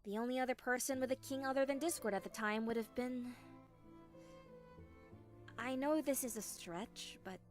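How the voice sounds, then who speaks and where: A woman voicing an earnest, caring cartoon pony friend, measured and sincere. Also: a little high, soft background music, close to an everyday voice. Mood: somber, careful.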